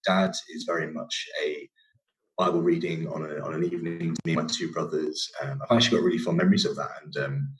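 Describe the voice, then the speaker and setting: A man's voice: very deep voice, steady tone, fast, poor audio. A young man from England with a northern English accent, a podcast guest telling his story casually, rambling with many fillers and restarts.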